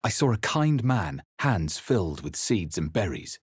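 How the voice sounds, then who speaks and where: A grave adventurer recounts dark fantasy memories in a video game. His voice is very low and husky. The delivery is introspective and deliberate, recorded completely dry. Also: very animated, no room sound, full character voice. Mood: grave, hushed. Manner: grave, deliberate, literary storytelling. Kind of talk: scripted first-person game narration.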